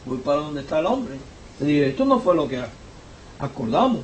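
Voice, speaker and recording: A pastor with a Cuban accent preaches in a high, emphatic voice, pushing up in pitch and volume whenever he stresses a point. He sits back from the microphone, so his words arrive from a distance. The church hall around him rings with echo. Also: sing-song, a little fast, slight background noise. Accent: Cuban Spanish. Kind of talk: sermon. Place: church sanctuary. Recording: echoey church hall, voice from far off.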